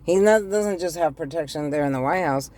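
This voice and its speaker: Raspy-voiced woman chatting from her car. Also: deep voice, fairly quick, darkish tone. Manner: chatty, addresses viewers directly, hesitant ums. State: raspy voice after the flu. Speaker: tarot reader. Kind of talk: casual tarot reading to camera. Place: inside a car. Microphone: phone held in one hand.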